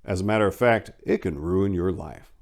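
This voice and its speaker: Theatrical storyteller man with wide-swinging pitch. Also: expressive, normal speed, gravelly. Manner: theatrical, emphatic, wry. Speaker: writer and channel host performing dramatic readings. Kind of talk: dramatic first-person story reading.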